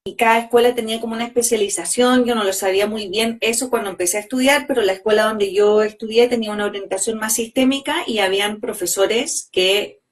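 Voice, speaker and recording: A woman in her forties with a Chilean Spanish accent, speaking as an interview guest in an unhurried, reflective way. She circles around her points with frequent fillers and restarts, at a middling pitch. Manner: thoughtful, rambling, many hesitations. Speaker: guest, organizational psychologist and coach. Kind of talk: casual interview conversation.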